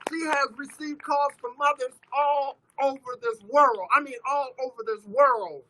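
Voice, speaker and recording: A man from Oakland giving an impassioned rally speech, hoarse and losing his voice, leading call-and-response chants. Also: very high voice, heavy background noise. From Oakland, California. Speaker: rally speaker for families of police violence victims.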